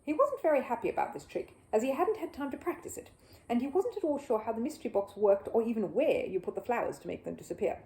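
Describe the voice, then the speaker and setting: A woman reading a children's story aloud in an expressive, animated way. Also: fairly high pitch, fast, poor audio.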